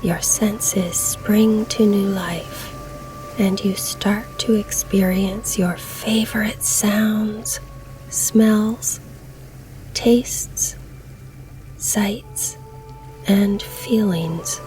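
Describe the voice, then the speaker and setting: A woman leading a scripted guided meditation in a soft, breathy, soothing delivery with long pauses. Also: medium pitch, whispered, rough recording. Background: calm background music.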